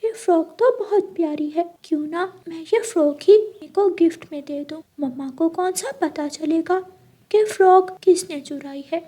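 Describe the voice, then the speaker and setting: A woman's voice: extremely high pitch, moderate pace, mellow, voice pushed up. A woman voicing a stubborn, whining young boy bird, pleading and insistent, in a children's cartoon story. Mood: sly, excited.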